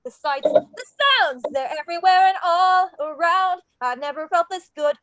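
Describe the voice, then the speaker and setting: A woman playing an excitable, wonderstruck male skeleton in a table read of a musical, with theatrical, rhyming exclamations. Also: extremely high pitch, normal speed, no room sound, lo-fi sound, faint music behind. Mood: joyful.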